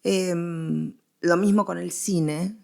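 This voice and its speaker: Argentine woman explaining, with a creaky lowish voice. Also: steady tone, drawn-out words, a little mellow. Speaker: interviewed historian and guest expert. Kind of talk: conversational scholarly interview.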